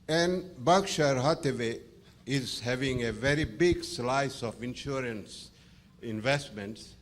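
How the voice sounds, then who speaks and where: A man from India, a shareholder asking a question from the floor in a formal, elaborate and slightly halting way. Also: a little high. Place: large meeting hall.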